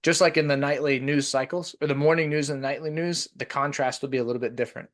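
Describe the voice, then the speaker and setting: A man's voice: mid-range voice, steady tone, quick pace, fairly bright tone. A man hosting a podcast. He chats casually and rambles through long stories, with many "like" fillers. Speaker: podcast host. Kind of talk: casual conversation.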